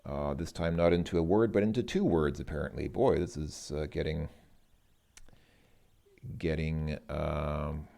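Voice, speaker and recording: Deep, slow-spoken man with creaky voice. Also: steady tone, warm tone. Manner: halting, thinking aloud, sounding out words slowly. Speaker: host working through a Russian lesson as a learner. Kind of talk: informal language lesson, reading and translating aloud.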